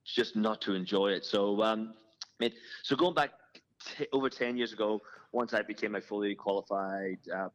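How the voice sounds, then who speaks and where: A middle-aged man from Ireland with an Irish accent, talking as a guest in a relaxed, chatty way, often restarting his sentences. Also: on the low side, moderate pace, poor audio. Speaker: guest, fitness business founder. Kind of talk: conversational interview.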